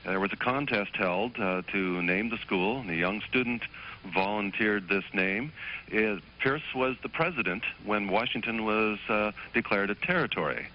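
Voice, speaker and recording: Phone-in guest, male teacher, lowish voice, polite and halting, muffled phone line. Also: a little hiss or hum. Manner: halting, polite, many fillers. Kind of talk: phone interview.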